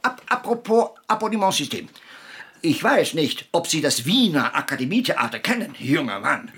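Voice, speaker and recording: An actor playing a cantankerous, vain old puppeteer in a radio play, pompous and lecturing, with a raspy voice. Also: male voice, a little high, sing-song, normal speed, a bit bright, heavily acted. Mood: scornful. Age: older. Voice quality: raspy, gravelly. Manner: theatrical, pompous, lecturing, irascible.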